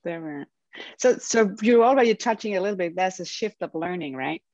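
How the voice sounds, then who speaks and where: European woman hosting a podcast, lowish voice, dry close sound, friendly kinda halting questions. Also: lively intonation, darkish tone, no room sound, lo-fi sound. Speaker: podcast host. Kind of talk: interview hosting.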